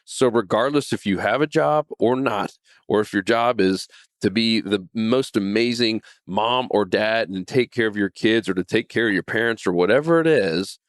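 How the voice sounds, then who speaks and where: A man's voice: lowish voice, normal speed, dry recording, clean studio sound. A man in his forties hosting a podcast, talking earnestly about faith and purpose with frequent restarts and repeated words. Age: 40s. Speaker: podcast host. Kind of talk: casual faith talk monologue.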